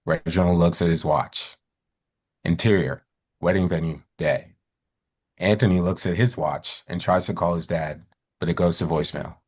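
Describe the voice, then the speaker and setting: A man's voice: low-pitched, fairly even intonation, moderate pace, slightly rough. A man reading stage directions aloud as scripted narration at a live screenplay table read.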